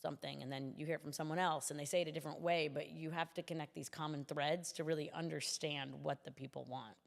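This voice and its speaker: A woman's voice: low-pitched, steady tone, quick pace, creaky, in a large hall. A woman speaking as a city commissioner in a conversational interview, explaining things earnestly.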